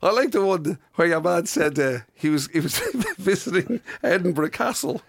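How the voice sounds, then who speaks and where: A man's voice: sits high, animated, moderate pace, creaky, no room sound. Irish man chatting in a dry studio. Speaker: radio show co-host. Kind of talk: casual banter, reacting to a co-host. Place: radio studio.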